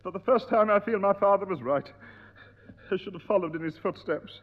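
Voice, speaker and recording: Pompous piano teacher, fussy and stern, high-pitched, dull echoey vintage radio. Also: male voice, fairly quick. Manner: stern, pompous, easily exasperated. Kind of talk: 1960s radio sitcom acting. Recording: dull old radio broadcast with long reverb.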